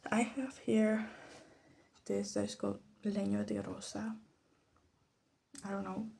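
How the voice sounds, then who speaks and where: A woman speaks in a soft, mellow voice with plenty of fry, often dropping to a whisper. She muses hesitantly and self-deprecatingly as an ASMR host, over faint background noise and small clicks. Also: mid-range voice, little pitch movement, unhurried. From Latin America. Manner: hushed, often slipping into a whisper. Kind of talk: soft-spoken ASMR commentary while demonstrating. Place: bedroom.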